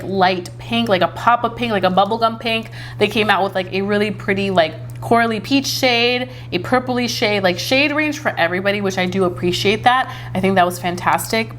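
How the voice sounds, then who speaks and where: A woman's voice: medium pitch, fairly bright tone, slight background noise. Chatty woman, slightly congested from a cold. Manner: chatty, many fillers, enthusiastic. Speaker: beauty vlogger. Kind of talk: casual makeup review and demo.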